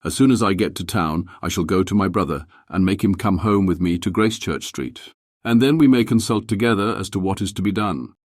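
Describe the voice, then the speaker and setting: A man voicing a calm, reassuring middle-aged gentleman in an audiobook, speaking in a measured, steadying way. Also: low-pitched, dry recording, clean studio sound, pitched below the performer's own voice. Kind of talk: dramatized audiobook character reading.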